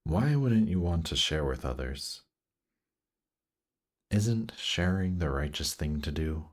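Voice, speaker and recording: Man playing a very deep-voiced seductive demon prince. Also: lively intonation, full character voice. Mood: playful, amused. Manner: teasing, unhurried, coaxing. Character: seductive male demon prince of Hell, teasing, coaxing. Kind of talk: intimate roleplay audio drama.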